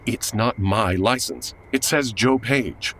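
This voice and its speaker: A woman's voice: very deep voice, slow, fairly bright tone, full character voice, low-quality recording. A woman playing an exasperated, anxious 1940s radio announcer man in a comedy audio drama, grumbling and dramatic. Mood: exasperated, bewildered. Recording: clear background noise.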